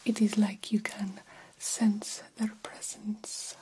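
Very slow whispering woman, ASMR vampire doctor. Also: mid-range voice, level tone. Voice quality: breathy whisper. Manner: slow, deliberate, gently instructive. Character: female vampire doctor tending a young patient. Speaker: ASMR performer. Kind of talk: ASMR roleplay.

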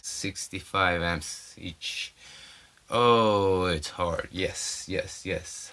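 Brazilian male podcast host, low voice, bright edge, loose halting monologue on a phone mic. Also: clear, resonant tone. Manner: rambling, halting, with many fillers and restarts. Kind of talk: casual solo podcast monologue. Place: off-grid home in the mountains. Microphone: cell phone.

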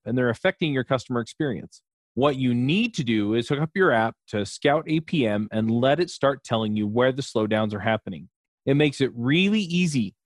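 A man reading a sponsor advertisement in a conversational, pitching manner. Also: dry recording, clean studio sound.

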